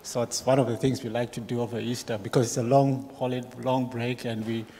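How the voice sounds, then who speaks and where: Man from Zimbabwe, relaxed, hesitant, handheld mic, echoing church hall. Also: medium pitch, quick pace. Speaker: church member. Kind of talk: interviewed on stage.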